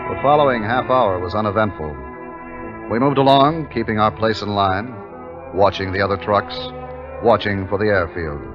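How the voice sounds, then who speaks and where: An actor playing a brisk, commanding army captain in a 1950s radio drama, speaking in a clipped, authoritative way. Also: male voice, on the low side, close to an everyday voice. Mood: tense narration. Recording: muffled old radio recording with background music.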